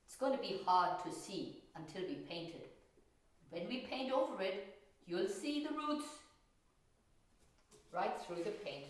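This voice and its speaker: A woman teaching a children's painting lesson, explaining step by step in simple words and asking questions. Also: fairly low pitch. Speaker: art teacher. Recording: very echoey room, distant mic.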